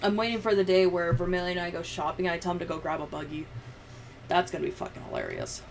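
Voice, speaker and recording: A woman's voice: lowish voice, very animated, fast talker, slight background noise. A woman livestreamer chatting and telling stories in a casual, sweary, giggly way. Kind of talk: casual livestream chat, storytelling.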